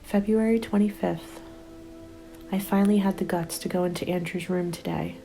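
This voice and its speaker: A woman playing a weary, hopeless apocalypse survivor, giving a sombre diary monologue in a soft, breathy half-whisper as ASMR roleplay. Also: fairly low pitch, fairly even intonation, soft background music, rough recording. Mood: bleak resignation. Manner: resigned, sombre, deliberate.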